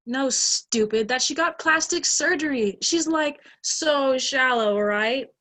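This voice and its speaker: Woman playing a high-pitched, scornful mean girl. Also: takes it slowly, sharp tone, full character voice. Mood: scornful, gossipy. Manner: valley-girl drawling, scornful. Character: snooty, hateful teenage mean-girl bully. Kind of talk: amateur stage play acting.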